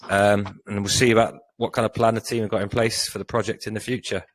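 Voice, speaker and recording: Low-voiced man hosting, dry close sound. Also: flat delivery, dry recording, low-quality recording. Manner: brisk, rehearsed host intro with fillers. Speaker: AMA host. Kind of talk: hosting a live audio AMA.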